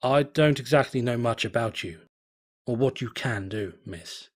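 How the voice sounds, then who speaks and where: A wary, bewildered stallion explorer speaks in plain, halting words, as a man reading the story puts him on. His voice is husky and sits at a middle pitch. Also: natural voice. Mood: bemused. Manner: hesitant, plain-spoken. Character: cautious, puzzled stallion explorer.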